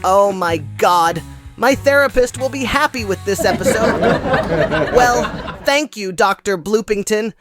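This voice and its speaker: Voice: medium pitch, expressive, measured pace, loud music behind the voice, over a noisy crowd, natural voice. A performer as sarcastic, flustered puppet show host Benny. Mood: sarcastic amusement. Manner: dry, melodramatic, self-mocking. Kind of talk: puppet comedy hosting.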